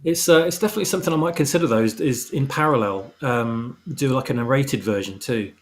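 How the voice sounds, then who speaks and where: Quick-talking man as a stream guest. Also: mid-range voice. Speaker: guest, audio drama creator. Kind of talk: casual chat on a live stream.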